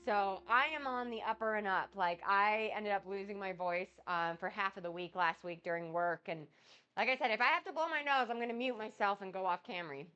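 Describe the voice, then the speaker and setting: Woman, cooking stream host, sniffly cold, bright tone, dull lo-fi audio, faint music behind. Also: medium pitch, a little fast, clean tone. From United States. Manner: chatty, rambling, reads out and answers chat comments. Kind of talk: casual cooking livestream chat with viewers.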